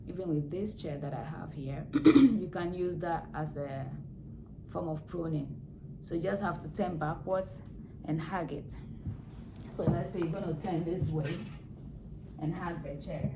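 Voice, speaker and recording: A hoarse woman with a low voice talks through home care in a casual vlog, explaining plainly and going back over the important points. She is recorded to camera, with a steady hiss of noise behind her. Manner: plain explaining, repeats key words. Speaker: nurse vlogger. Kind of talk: casual health advice vlog.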